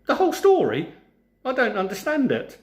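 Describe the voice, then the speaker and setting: A man's voice: very high-pitched, huge pitch swings, moderate pace, pitched above the performer's own voice, exaggerated voice. A man voicing a cocky, easily puzzled young boy in a storybook, exclaiming in disbelief. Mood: baffled, indignant. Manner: exclaiming, incredulous. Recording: roomy, echoing sound.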